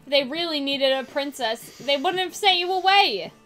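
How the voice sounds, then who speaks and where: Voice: high-pitched, lively intonation, moderate pace, sharp tone, soft background music. A woman voicing an upbeat, adventurous sister who loves traveling, in a visual novel game. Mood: disappointed, pleading.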